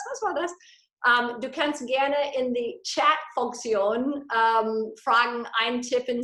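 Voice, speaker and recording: A woman's voice: fairly high pitch, fairly bright tone, roomy sound. A woman from Texas with an American accent, a native English speaker presenting a live business webinar in imperfect German, slipping into English phrases with enthusiasm. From Texas, USA. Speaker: business coach. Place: her home, indoor.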